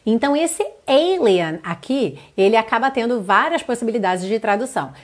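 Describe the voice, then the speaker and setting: Brief: woman from Brazil, English teacher, high-ish voice, careful slow reading of lines. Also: animated. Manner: reads English lyrics slowly and carefully, then translates. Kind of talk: online language lesson.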